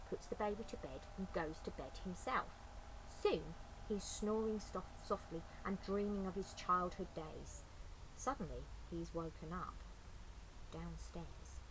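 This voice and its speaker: A woman's voice: on the low side, measured pace. A woman reading a children's picture book aloud as storyteller, with playful asides to young listeners. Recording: faint background noise.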